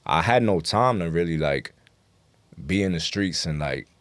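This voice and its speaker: A man's voice: sits low, animated, normal speed, clear, resonant tone, no echo. A man with a New York accent chatting casually as an interview guest, telling stories emphatically and with lots of slang. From New York City. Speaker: guest rapper.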